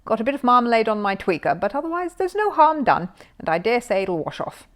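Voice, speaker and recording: A woman's voice: on the high side, sing-song, brisk, a bit bright. Cheerful, chatty repairman, acted by a woman reader. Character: cheerful, good-natured tradesman repairman.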